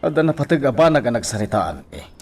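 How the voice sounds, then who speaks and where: A man's voice: medium pitch, very animated, quick pace, a little mellow, breathy edge. An actor playing a troubled, protective middle-aged father in a radio drama, with a Filipino accent. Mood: evasive, apologetic.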